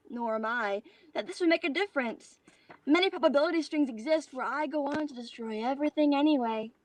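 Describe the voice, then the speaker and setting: A woman playing a godlike bound artificial being in a play reading, speaking in a formal, measured, detached way. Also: high-pitched, no echo, low-quality recording. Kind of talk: virtual staged play reading.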